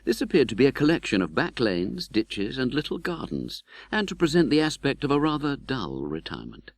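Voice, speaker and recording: A man reading a classic novel as an audiobook, measured and precise. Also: medium pitch, wildly swooping pitch, gravelly, no room sound. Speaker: audiobook narrator. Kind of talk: scripted literary narration of a classic novel.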